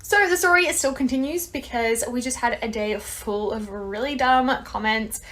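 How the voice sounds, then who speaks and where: A woman, recounting a story to camera with quick, exasperated energy. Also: on the high side, background noise.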